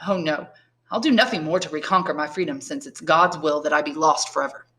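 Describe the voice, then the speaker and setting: A woman's voice: low-pitched, brisk, a bit bright. An elderly, learned priest long held prisoner, acted out by a woman in a classic audiobook. The delivery is articulate and emphatic, full of rhetorical force, bitter and resigned. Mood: exasperated, despairing. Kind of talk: dramatised audiobook reading of a classic novel.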